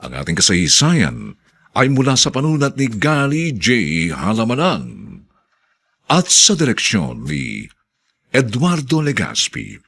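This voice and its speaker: A man with a Filipino accent narrating a radio drama theatrically, with a gravelly, husky voice. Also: medium pitch, huge pitch swings, fairly bright tone. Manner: theatrical, drawn-out delivery. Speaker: narrator and announcer.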